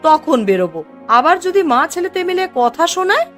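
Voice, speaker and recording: Woman acting an expressive, high-voiced village mother. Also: fairly quick, soft background music. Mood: worried, regretful. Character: emotional village mother and neighbour wife, middle-aged. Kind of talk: Bengali moral-story cartoon voice acting.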